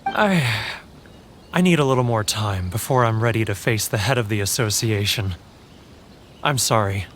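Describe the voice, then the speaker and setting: A man's voice: lowish voice, moderate pace, a little hiss or hum, lightly acted. An actor playing a timid, earnest and awkward man in a video game, speaking hesitantly and apologetically. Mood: awkward, apologetic.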